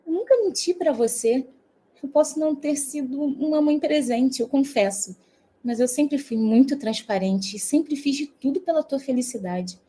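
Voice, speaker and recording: A woman playing a pleading, self-justifying mother goddess in an audio drama, with a soft, breathy voice. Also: high voice.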